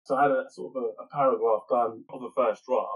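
A man's voice: mid-range voice, fairly even intonation, quick pace, muffled recording. British man chatting loosely as interview guest. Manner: loose, rambling, self-correcting explanations. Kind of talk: casual interview chat.

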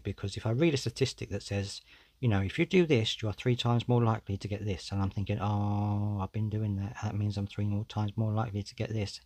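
A man's voice: on the low side, a little mellow, no echo. A man, hosting a solo podcast in a candid, conversational way, often saying "you know". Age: 40s. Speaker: podcast host.

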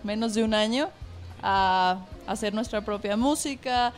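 A woman's voice: mid-range voice, a little slow, faint music behind. A woman with a Mexican accent chatting casually and informally as a singer guest on a show. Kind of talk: casual chat.

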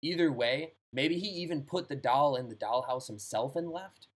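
A man's voice: medium pitch, moderate pace, slightly rough. A man in casual chat, telling short ghost stories in a loose, jokey way. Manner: loose, jokey, swears freely. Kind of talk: casual podcast chat, storytelling.

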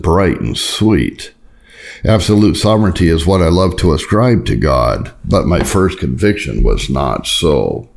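A man with a very low voice reads a scripted religious memoir. His delivery is solemn and formal, with measured phrasing suited to an audiobook of old devotional writing. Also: gravelly, darkish tone. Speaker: audiobook reader. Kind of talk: scripted reading of a Puritan memoir.

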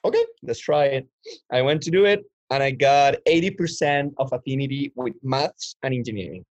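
A man's voice: mid-range voice, lively intonation, moderate pace, no echo. A man in his twenties from Chile, telling his life story as an interview guest in a rambling way with many restarts. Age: 20s. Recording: dull lo-fi dry sound.

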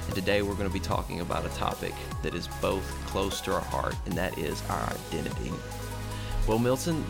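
A man's voice: fairly low pitch, vocal fry. A young adult man from Memphis, hosting a podcast in a chatty, joking way. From Memphis, USA. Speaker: podcast host. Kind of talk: casual podcast chat.

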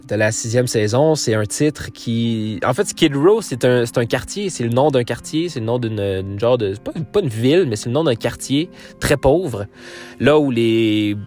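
A man from Quebec speaks with a Quebec French accent as he wraps up his podcast. He talks casually, circling back with fillers and restarts, at a middle pitch. Faint music plays under his voice. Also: darkish tone. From Quebec, Canada. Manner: rambling, many fillers and restarts. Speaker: podcast host. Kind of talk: casual solo podcast outro.

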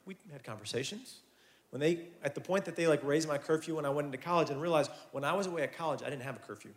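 Casting: man in his 30s, youth preacher, talks a bit higher than most men, animated and chatty delivery. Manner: animated, conversational preaching with asides. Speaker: youth pastor. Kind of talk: sermon to young people.

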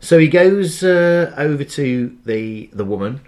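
A man's voice: on the high side, animated, a little slow, a little mellow. A man in casual podcast chat, talking freely and swearing now and then. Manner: chatty, swears freely, acts out quotes. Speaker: podcast co-host. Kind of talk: casual chat recapping a TV episode.

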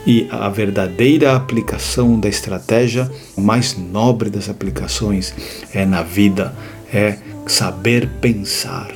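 A man hosting an educational series, explaining ideas in a measured lecture with deliberate, emphatic repetitions. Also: fairly low pitch, soft background music. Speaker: host of an educational series on strategy.

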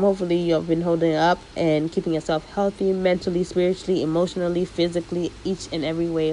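Chatty woman podcast host, low voice. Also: slight background noise, poor audio. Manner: lively, chatty, mixes in Swahili slang. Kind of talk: casual solo podcast monologue. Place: living in the United States.

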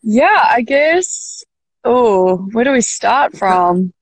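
Young NZ woman, podcast guest on a video call; dry sound, rambling and halting delivery. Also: on the high side, expressive, dry recording. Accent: New Zealand. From New Zealand. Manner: rambling, halting, laughs easily. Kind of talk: casual interview.

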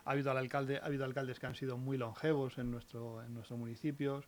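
A man's voice: medium pitch, little pitch movement, quick pace. A man from La Guardia in Spain with a Castilian accent, chatting casually as a radio guest and often repeating phrases as he goes. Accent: Castilian Spanish. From La Guardia, Toledo, Spain. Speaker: interview guest, municipal archivist. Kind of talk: casual radio conversation about local history.

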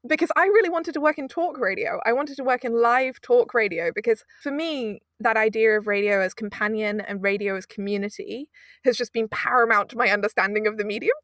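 A young woman from Britain, an audio drama producer telling stories in a casual interview, animated and self-mocking with frequent laughs. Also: fairly high pitch, brisk, no room sound, clean studio sound.

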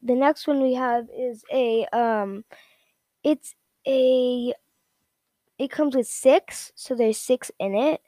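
A woman giving a casual, unscripted product rundown in a halting, repetitive, informal way. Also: sits high, mellow, no echo, clean studio sound. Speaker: product reviewer.

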